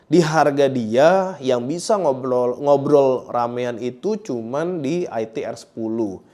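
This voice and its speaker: A man's voice: medium pitch, slow pace, warm tone. A man with a Jakarta Indonesian accent giving a casual product review, speaking colloquially and straight to viewers, often repeating his phrases. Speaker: motorcycle gear reviewer and host.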